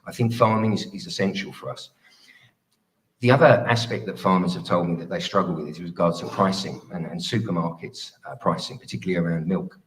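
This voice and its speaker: A man giving a policy speech as a parliamentary candidate at a hustings, measured and hesitant, often restarting his phrases. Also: deep voice, fairly even intonation, low-quality recording. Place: live public hustings event.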